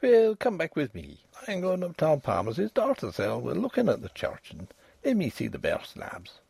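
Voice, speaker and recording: Man playing a hearty, forceful Irish doctor, animated delivery. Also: fairly high pitch, normal speed, creaky, slightly rough, no room sound, heavily acted. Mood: amused, scoffing. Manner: hearty, scoffing, bossy. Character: brisk, mocking young Irish doctor, burly and forceful. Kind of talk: dramatic audiobook character voice.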